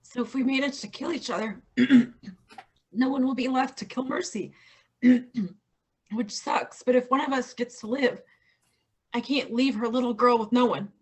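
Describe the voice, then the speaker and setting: A woman's voice: a little high, measured pace, darkish tone, breathy edge. A woman reading the part of a weary, earnest woman fighter in a staged play reading. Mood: shaken. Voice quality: earnest, reflective delivery.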